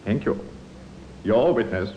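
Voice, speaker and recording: An actor playing a stern Crown prosecutor in an old radio courtroom drama, oratorical, emphatic and insistent. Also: male voice, lowish voice, lively intonation, slow. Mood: probing. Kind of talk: 1950s radio drama acting. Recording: muffled old radio recording, hissy, long hall reverb.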